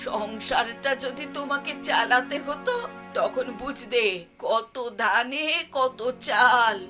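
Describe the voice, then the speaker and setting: A scolding, hard-pressed village mother with a high voice, played by a woman. She nags sarcastically in a cartoon folk tale, with music clearly behind. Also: slow pace. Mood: scolding, sarcastic. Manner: sarcastic, nagging. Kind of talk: Bengali moral-tale cartoon voice acting.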